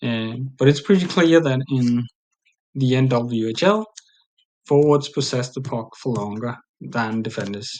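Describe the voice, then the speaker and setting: Man with a Danish accent, thirties, recorded talk at a desk webcam, hesitant and filler-heavy, mid-pitched. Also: measured pace, darkish tone. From Denmark. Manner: plain, hesitant with frequent fillers. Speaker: presenter. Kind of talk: recorded conference presentation. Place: small room indoors.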